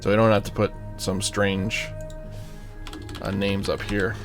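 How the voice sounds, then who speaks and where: A man's voice: fairly low pitch, little pitch movement, unhurried, music in the background. A man giving casual gameplay commentary, thinking aloud and muttering to himself with playful asides. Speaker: gaming channel host.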